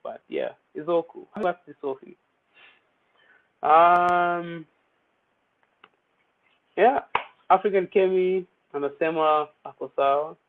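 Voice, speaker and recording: A man's voice: high voice, slow, pauses often. A young man hosting an online poetry open mic in a chatty, playful way, mixing English with Swahili slang. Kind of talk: casual livestream hosting. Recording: tinny phone-like audio.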